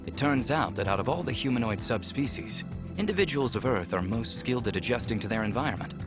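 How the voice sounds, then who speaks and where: An actor voicing a curious, polite alien scholar in a visual novel game, speaking in measured, earnest sentences. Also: male voice, mid-range voice, lively intonation, lightly acted. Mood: earnest, scholarly. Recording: music clearly playing behind.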